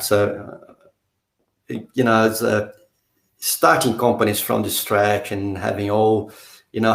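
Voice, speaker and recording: Low-voiced man, halting speech. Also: vocal fry. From South America. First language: not English. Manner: halting, many restarts and fillers. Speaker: guest, company director. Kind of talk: casual interview talk. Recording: roomy echo.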